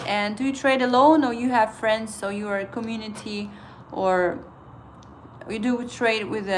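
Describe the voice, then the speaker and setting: A woman in her thirties, a native Estonian speaker with an Estonian accent, hosting a casual trading livestream and chatting with viewers in halting English full of fillers. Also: medium pitch, slight background noise. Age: 30s.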